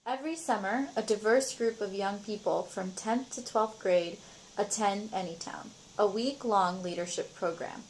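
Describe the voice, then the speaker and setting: A woman giving clear, measured scripted narration for a nonprofit's promotional video. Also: medium pitch, a little hiss or hum, poor audio.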